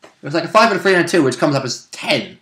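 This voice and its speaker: A man's voice: on the high side, very animated, quick pace, pushed and bright. A man who plays tabletop roleplay, chatting casually about the game.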